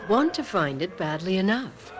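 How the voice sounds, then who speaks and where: Actress playing a vain, eager, very animated woman. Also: mid-range voice, normal speed, close to an everyday voice. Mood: brisk, insistent. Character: vain, determined mother, eager for exclusive finds. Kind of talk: radio mystery drama acting. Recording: music behind a radio drama.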